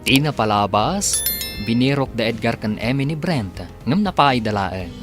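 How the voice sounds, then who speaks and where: A man's voice: medium pitch, lively intonation, normal speed. A man with a Filipino accent reading scripted announcements and narration as the announcer of a radio drama serial. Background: instrumental music, guitar.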